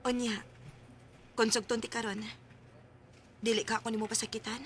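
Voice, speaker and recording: Bright, coy young Cebuano woman, acted by a woman. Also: a little high, little pitch movement, normal speed, slight background noise, close to an everyday voice. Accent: Cebuano Filipino. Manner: coy, giggly, coaxing. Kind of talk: radio drama acting.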